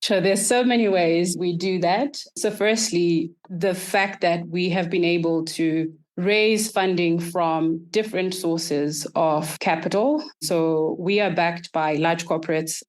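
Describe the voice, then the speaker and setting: A woman from Zimbabwe, answering podcast interview questions in a measured, structured way. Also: lowish voice, fairly even intonation. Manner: measured, structured, explains in lists. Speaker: guest, startup accelerator executive.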